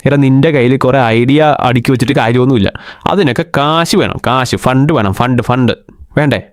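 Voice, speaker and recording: A bossy young schemer, played by a man, lectures his friends in a Kerala accent. His voice is smooth and resonant, and it sounds close and dry. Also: mid-range voice, a little fast, no echo, lightly acted.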